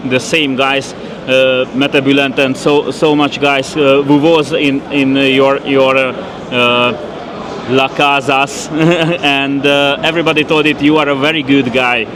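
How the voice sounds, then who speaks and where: A man with a Hungarian accent conducts a casual interview outdoors at a busy fair. His English is halting and full of fillers and restarts. His pitch sits in a middle range, with a crowd chattering around him. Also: flat delivery. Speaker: podcast host.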